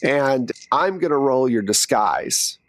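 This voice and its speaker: A man's voice: medium pitch, lively intonation, takes it slowly, dry recording, poor audio. Man casually narrating as game master. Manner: conversational, second-person storytelling.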